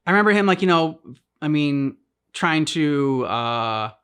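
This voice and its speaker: A man hosting a reaction channel, casually discussing a game's story in a halting way, repeating words as he thinks aloud. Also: fairly high pitch, expressive, a bit bright, clean tone. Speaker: host of a game reaction channel.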